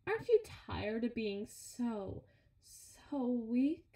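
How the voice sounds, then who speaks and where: A woman's voice: a little high, sing-song, slow, dark tone, heavily acted. A woman playing a brawny, sardonic henchwoman who grumbles and teases in an intimate audio roleplay, with a conversational, sarcastic delivery. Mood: weary, earnest. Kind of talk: ASMR audio roleplay, intimate one-sided dialogue. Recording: roomy, echoing voice recording.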